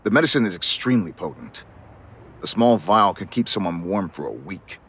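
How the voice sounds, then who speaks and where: A man's voice: deep voice, sing-song, normal speed, a bit bright, husky, exaggerated voice. A man voicing a stern but fair guard captain in a video game, earnest and authoritative. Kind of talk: scripted video game voice acting.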